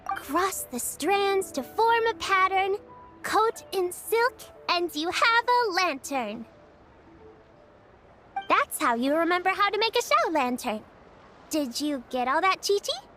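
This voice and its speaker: A woman's voice: very high voice, big pitch swings, takes it slowly, fairly bright tone, faint music behind, heavily acted. A woman voicing a cheerful, caring little girl in a video game, explaining things patiently in a lilting way. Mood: patient, singsong.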